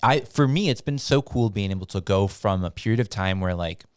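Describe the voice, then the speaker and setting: A man's voice: lowish voice, expressive, fairly quick, smooth, dry recording, studio quality. A man hosting a podcast, chatting easily and affirming his guest often. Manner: friendly, affirming, lots of backchannel. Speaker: podcast host. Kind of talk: casual podcast chat.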